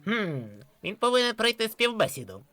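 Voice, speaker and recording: A woman voicing an excitable, boastful male skeleton with a grandiose, theatrical manner in an animated cartoon dub. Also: on the high side, normal speed. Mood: exasperated. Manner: grandiose, theatrical self-praise. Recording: music under the voice. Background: loud music.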